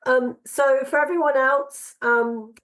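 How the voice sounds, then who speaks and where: A woman with a cold-roughened voice, hosting a casual podcast interview and pausing often with ums and restarts. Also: high-pitched, fairly even intonation, fairly bright tone. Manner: halting, many ums and restarts. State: hoarse from a cold. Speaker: podcast host.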